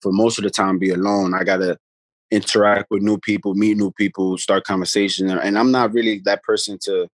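A man rambles through stories in an easygoing, conversational way. His voice sits a little low, and the sound is dry and close from a phone held near him on a video call. Each point gets padded with casual asides. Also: little pitch movement, fairly quick, no room sound. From the Bronx, New York. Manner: laid-back, rambling, many "you know what I mean" tags. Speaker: guest, pro basketball player. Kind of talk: casual storytelling chat. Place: room at home, indoors. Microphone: phone camera held close on a video call.

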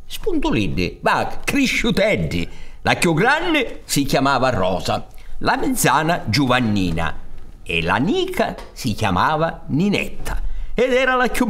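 A man's voice: mid-range voice, a bit bright. A man with a Sicilian accent narrating an old folk tale in a theatrical style.

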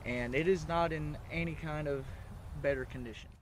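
A man's voice: on the high side, moderate pace. A man talking casually as a local guide, showing people around on a tour. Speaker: local guide to an abandoned building. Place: abandoned building, outdoors at the start. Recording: noisy location sound.

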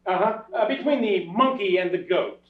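An actor plays a fussy master of ceremonies in an old-style radio comedy, halting and flustered. His high voice rises and dips a lot. The theatre sound is echoey and distant. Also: animated. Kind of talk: staged 1930s-style radio play reading. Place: theatre stage with audience, far camera. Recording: echoey dull stage recording, audience noise.

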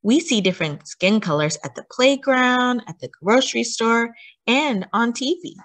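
Woman reading aloud, lively swooping pitch. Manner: deliberate, teacherly emphasis with questions to young listeners. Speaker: children's story time host reading a picture book aloud. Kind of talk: read-aloud storytelling for children, with asides and questions.

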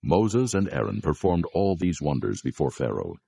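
A man's voice: rumbling low, big pitch swings, normal speed, creaky, warm tone, husky. A man narrating a dramatized scripture reading in a scripted, storytelling delivery.

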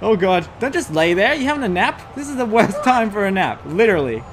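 A man's voice: high voice, sing-song, fairly quick, soft background music. A young adult man giving playful, sarcastic, exclamatory casual commentary while playing a video game. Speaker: let's play host. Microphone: desk USB microphone.

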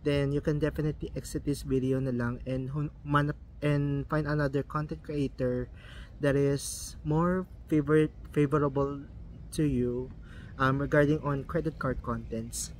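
A young man with a Filipino accent talks to his channel in a casual vlog, his voice sitting a little high. He hesitates often, filling gaps with ums and restarting words. A faint hiss of background noise runs under the recording. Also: level tone, rough recording. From Philippines. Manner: halting, many ums and restarts. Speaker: vlogger.

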